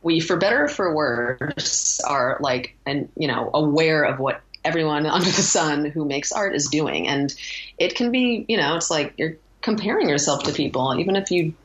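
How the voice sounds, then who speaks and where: A woman with a very low voice, chatting casually as an interview guest. She rambles reflectively and often breaks off her own sentences. The recording is a bit dull and lo-fi. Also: expressive, normal speed.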